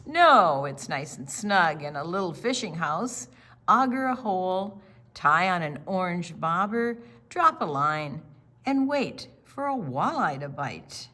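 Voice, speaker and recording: A woman reading a picture book aloud to young children in an expressive, question-and-answer storytime style. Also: on the low side, takes it slowly.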